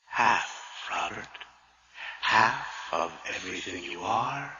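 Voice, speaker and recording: Vengeful ghost brother, possessive, whispering, lowish voice, radio horror, distant and echoey. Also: male voice, animated, sharp tone, exaggerated voice. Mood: gloating, commanding. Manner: sinister, breathy whispering and slow taunting. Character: vengeful spirit of a dead twin brother, taunting, possessive. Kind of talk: radio horror drama acting. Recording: dull, echoey, faintly noisy old radio broadcast.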